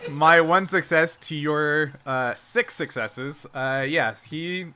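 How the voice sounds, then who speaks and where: A man's voice: a little high, slow pace, bright, forceful tone. A man acting as game master, explaining rules and narrating a tabletop game, often checking that he is understood.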